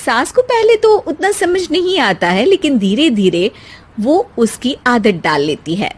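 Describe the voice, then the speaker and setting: A woman's voice: high voice, sing-song, a little slow, breathy edge. A woman narrating a scripted moral bedtime story as the storyteller.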